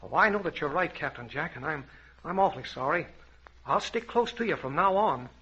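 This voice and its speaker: An eager, gee-whiz young sidekick in an old radio serial. The voice is high, very sharp and slightly rough, heard through a dull vintage recording. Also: male voice, expressive, fairly quick, full character voice. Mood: earnest, apologetic. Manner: earnest, boyish, gee-whiz. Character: eager, grateful young male safari sidekick. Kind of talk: 1940s radio adventure acting.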